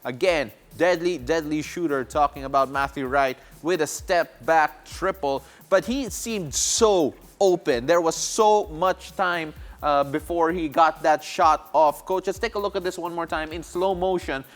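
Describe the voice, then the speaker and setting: A man's voice: a little high, unhurried, hardly any pauses. A man, hosting a basketball analysis segment in a conversational style in a TV studio. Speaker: sports show host.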